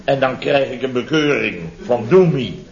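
An actor playing a flustered contractor in a 1970s radio comedy, telling domestic mishaps in halting, repetitive sentences full of tag questions. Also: male voice, medium pitch, gravelly, natural voice. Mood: rambling. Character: flustered male contractor recounting his chaotic domestic mishaps. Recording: dull vintage radio recording.

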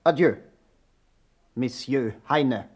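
An actor in a German radio play playing a pompous, stiff, offended gentleman duellist. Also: mid-range voice, very slow, slightly rough. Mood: offended hauteur. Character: haughty, offended gentleman demanding a duel.

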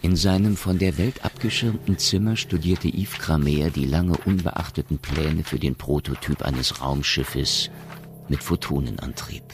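An actor as a solemn storyteller, narrating a science fiction radio play in a measured, literary way. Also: male voice, a bass voice. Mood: solemn narration. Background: music.

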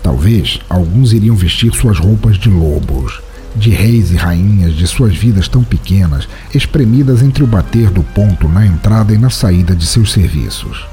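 A man plays a brooding, solitary writer of the night in an audio drama. His voice is low and mellow, with lively movement in pitch. He narrates his wanderings in a measured, introspective, literary manner. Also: faint music behind.

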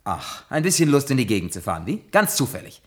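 A man's voice: medium pitch, big pitch swings, fast talker, close to an everyday voice. An actor in a German radio play, voicing a blunt, boastful, evasive man who talks colloquially. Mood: defensive.

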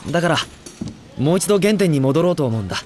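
A man's voice: a little high, animated, quick pace, darkish tone, faint music behind, close to an everyday voice. A man playing a modest, earnest young student film director in an anime comedy drama, speaking self-effacingly. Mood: reflective.

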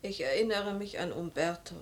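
A woman's voice: fairly low pitch, normal speed, close to an everyday voice. An actress playing a worried, skeptical woman who longs for a missing loved one, grave and restrained in a radio play. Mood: doubting, grave.

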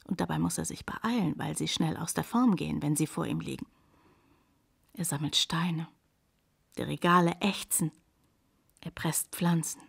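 Radio drama: sceptical household woman, husky and fry-laden, dry asides. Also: deep voice, moderate pace, pauses often, exaggerated voice. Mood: muttering exasperation. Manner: dry, muttering, often near a whisper. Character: sceptical, put-upon woman of a naturalist's household. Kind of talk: German radio play acting.

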